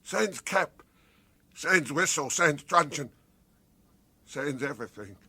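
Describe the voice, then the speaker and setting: A grandiloquent British convict in a radio comedy. His voice is fairly high and bright, very dry in sound, and he orates with florid, theatrical pomposity. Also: expressive, slow, slightly rough, dry recording, full character voice. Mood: mock-solemn. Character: florid, pompous male convict turned mock advocate.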